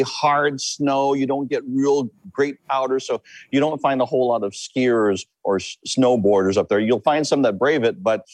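A man from Hawaii, a meteorologist, explaining the weather and big surf in a halting, repetitive way during a news interview. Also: medium pitch, darkish tone, no echo. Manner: halting, repeats words while explaining.

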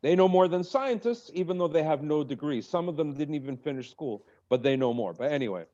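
A man working as a stand-up comedian, talking in a loose, rambling interview style that builds into animated rants. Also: fairly high pitch, brisk, lo-fi sound. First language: English. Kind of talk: conversational interview. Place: in Egypt.

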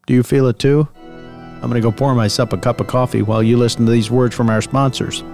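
An actor playing an earnest host of a late-night paranormal call-in radio show, measured and conspiratorial in manner. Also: male voice, mid-range voice, steady tone, vocal fry, a little mellow, faint music behind, close to an everyday voice. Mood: hushed, conspiratorial. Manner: measured, conspiratorial, theatrical sign-off.

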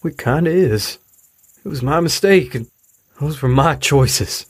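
A troubled middle-aged father in a naturalistic audio drama. His voice is husky and downcast, with halting delivery, captured close and dry. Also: fairly high pitch, lively intonation, dry recording. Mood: dejected, frustrated. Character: weary, troubled, frustrated widowed father of a teenager.

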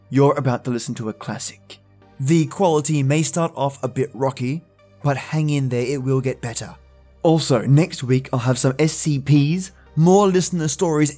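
A man with a mid-range voice presents a spooky story podcast. He reads a scripted introduction addressed to his listeners, with soft music running behind him. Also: medium pitch. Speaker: podcast host.

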